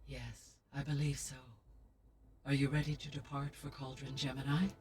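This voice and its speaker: A woman voicing a calm, formal female AI guardian in a video game, speaking in a measured, precise way. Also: a bass voice. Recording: distant game audio with music.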